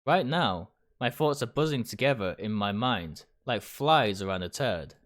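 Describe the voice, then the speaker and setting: A man reading first-person game narration aloud dramatically, in short, deliberate phrases. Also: on the low side, expressive. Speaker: game streamer reading a visual novel aloud. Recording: music behind the voice.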